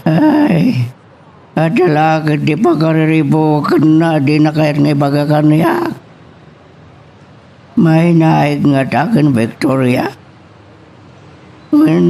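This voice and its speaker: A man playing a concerned, slow-talking character in an Ilocano radio love story. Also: fairly high pitch, gravelly, darkish tone, a little hiss or hum, heavily acted. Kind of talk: radio drama acting in Ilocano.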